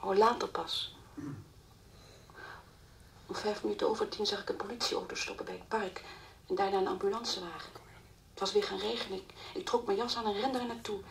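An actress playing the anxious, distraught mother of a missing girl in a Dutch radio play, halting and repeating her words. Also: mid-range voice, fairly even intonation, vocal fry, close to an everyday voice. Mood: anxious, recounting. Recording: old radio play recording, faint hiss.

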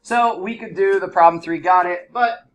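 A man teaching high school math, his voice stuffy and congested from allergies. He sits a bit high in pitch and explains in a loose, chatty classroom manner, full of fillers. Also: moderate pace, lo-fi sound. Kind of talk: classroom lesson.

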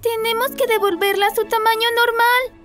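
A woman's voice: very high voice, fairly even intonation, moderate pace, a bit bright. A woman voicing a cheerful, helpful little girl in a children's cartoon dub, with music playing underneath. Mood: curious, helpful.

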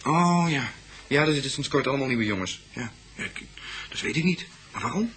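A man's voice: medium pitch, expressive, a crowd in the background, natural voice. An actor playing a hesitant, evasive young man being questioned by police in a radio crime drama. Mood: puzzled. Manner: halting, evasive.

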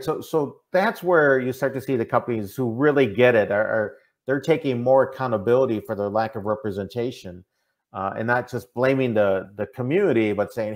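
A middle-aged man from the American Midwest giving earnest, conversational interview answers, often checking in with "right?". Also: lowish voice. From Minnesota, United States. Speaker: interview guest, diversity consultant. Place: indoor room, on a video call. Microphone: video call microphone.

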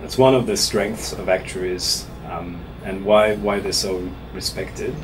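A man from Switzerland in his thirties, a professor answering interview questions in a small office in a measured way, often restarting his words. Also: sits low, fairly even intonation. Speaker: actuarial professor being interviewed. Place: small office meeting room, seated at a table. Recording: room recording with audible air conditioning hum.

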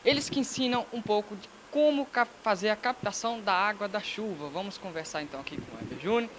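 A man's voice: high voice, fast talker, a bit bright, a little hiss or hum. A young man, a student reporter, introducing groups at a school fair with a handheld mic. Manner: announcing, scripted. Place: school fair, indoor stands.

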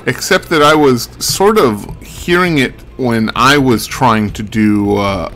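A man's voice: on the low side, a bit bright, slight background noise. A man giving casual, rambling video game commentary in a wry, musing way, thinking aloud. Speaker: game streamer.

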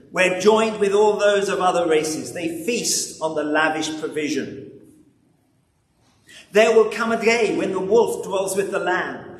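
A man in his seventies preaching a sermon in a solemn, measured, rhetorical way, pausing often. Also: high-pitched. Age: 70s. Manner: solemn, measured rhetorical delivery with pauses and repetition. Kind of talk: sermon with scripture reading. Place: large church interior, standing at a lectern. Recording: echoey hall, distant mic, background noise.

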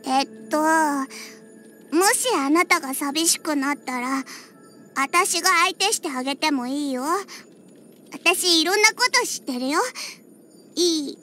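A woman's voice: high voice, unhurried, pauses often, pushed and bright, soft background music. A woman voicing a proud, huffy young cat-girl bartender in an anime-style game, with a small childlike voice and cat-like word endings. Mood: shy, coaxing. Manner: huffy, tsundere, slipping into cat-like "nya" endings. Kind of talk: Japanese anime-style game character voice lines.

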